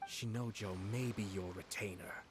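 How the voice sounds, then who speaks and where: Low-voiced, composed noble commissioner played by a man. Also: background noise, lightly acted. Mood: calm, probing. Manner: measured, formal, politely cutting. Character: composed, courteous, shrewd noble commissioner, veiled menace. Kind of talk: scripted video game dialogue acting.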